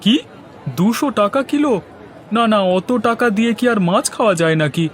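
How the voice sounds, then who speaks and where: A man's voice: sits high, lively intonation, brisk, a faint crowd, slight background noise. A man playing a fussy, thrifty market customer in a Bengali cartoon, exclaiming as he haggles. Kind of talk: Bengali moral-story cartoon dubbing.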